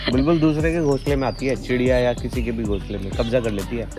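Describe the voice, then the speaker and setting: A man's voice: mid-range voice, steady tone, fast talker, a little mellow. A young man from Delhi joking and bantering with friends on a trip. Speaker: travel companion. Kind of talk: casual banter. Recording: music playing under voice.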